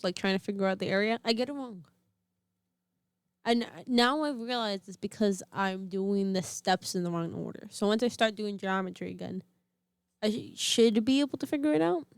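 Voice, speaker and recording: A woman's voice: mid-range voice, smooth, dry recording. Chatty teenage girl co-hosting a podcast. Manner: chatty, thinks aloud with fillers. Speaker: podcast co-host. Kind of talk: casual chat.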